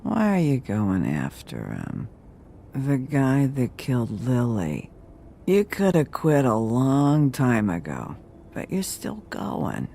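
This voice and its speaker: An actor playing a cynical, needling interrogator in a video game, creaky-voiced, deliberate and pointed. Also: male voice, medium pitch, huge pitch swings, mellow, heavily acted. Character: challenging, needling male interrogator, cynical and probing.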